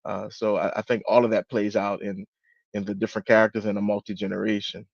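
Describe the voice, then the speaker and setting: Low-voiced man, slightly muffled, halting delivery. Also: flat delivery, gravelly, dry recording. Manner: halting, repeats word beginnings. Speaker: book club panellist. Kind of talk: reflective literary discussion. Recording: dull, muffled lo-fi audio.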